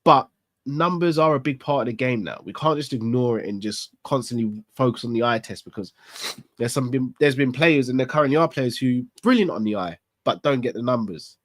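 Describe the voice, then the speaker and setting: A man's voice: medium pitch, lively intonation, a little fast, no echo. A man, congested from a cold, hosting a live fan stream in candid casual chat, thinking aloud with rhetorical questions. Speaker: football fan channel host. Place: small studio set with club decor.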